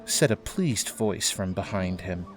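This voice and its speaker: A man serves as narrator for an audio drama, reading scripted prose. His voice is on the low side, and music plays softly behind it. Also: lively intonation, measured pace. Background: background music.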